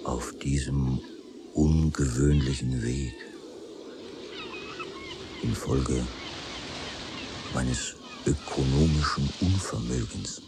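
An actor playing a weary, fervent, penniless wandering poet in a radio drama, reciting in a halting, declamatory way. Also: male voice, rumbling low, over music, full character voice. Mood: brooding, subdued. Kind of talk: German radio drama acting.

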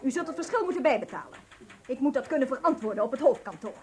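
High-pitched, strict office supervisor. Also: female voice, lively intonation, fast talker, a crowd in the background. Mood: stern, reproachful. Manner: stern, formal, reproachful. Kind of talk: radio drama acting.

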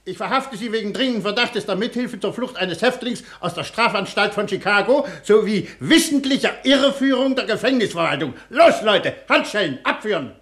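Radio comedy casting: pompous police commissioner, very high-pitched, barking orders officiously. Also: male voice, expressive, brisk, few pauses, a bit bright. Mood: officious, commanding. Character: officious, blustering male chief police commissioner. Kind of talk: German radio comedy acting.

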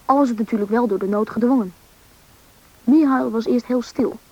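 A woman's voice: a little high, moderate pace. A woman playing a young child who narrates an adventure in a radio drama, in plain storytelling style. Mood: reflective storytelling. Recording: dull, muffled old radio recording.

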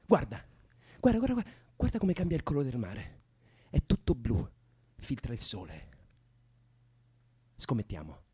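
A man's voice: medium pitch, huge pitch swings, moderate pace, long pauses, dark tone, slightly rough, exaggerated voice. An actor playing a young man in a radio drama, soothing a distressed woman with colloquial, improvised-sounding stories. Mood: playful, coaxing. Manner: coaxing, colloquial, imaginative. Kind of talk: Italian radio drama acting.

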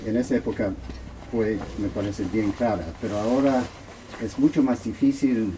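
A man with a fairly low, mellow voice asks a question from the audience in Spanish, which is not his first language. He goes slowly and haltingly, searching for his words, with faint noise behind him. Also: steady tone, low-quality recording. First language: not Spanish, Spanish as second language. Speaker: audience member asking questions. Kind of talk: unscripted question at a book talk.

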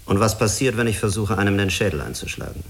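An actor in a German radio drama playing a skeptical, authoritative spaceflight commander, questioning in a clipped manner. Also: male voice, on the low side, lively intonation, fast talker, fairly bright tone. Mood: probing, skeptical. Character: hard, skeptical male spaceflight commander, probing and curt.

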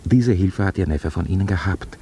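Husky, deep-voiced chaplain. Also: male voice, normal speed, warm tone. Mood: gently probing. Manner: earnest, probing, pastoral. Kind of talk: radio play acting.

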